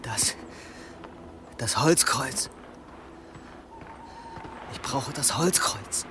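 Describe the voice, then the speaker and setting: An actor playing a tormented, penitent young monk in a German radio drama, halting, strained and fatalistic. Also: male voice, mid-range voice, expressive, background noise. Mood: anguished, resigned.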